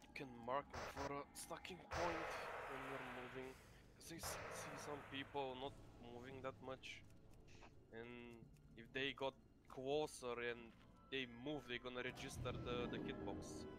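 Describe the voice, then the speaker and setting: A man on game voice chat, casually explaining things to his group in a halting way. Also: medium pitch, steady tone, noisy background. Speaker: raid member.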